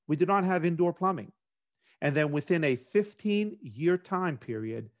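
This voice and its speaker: A middle-aged man from Greece, a government instructor, giving a measured academic lecture over a video call. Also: mid-range voice.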